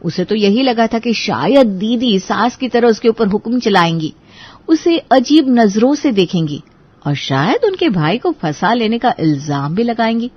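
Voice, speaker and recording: A woman's voice: a little high, sing-song, measured pace, breathy edge, highs cut off. A woman narrating a scripted story expressively.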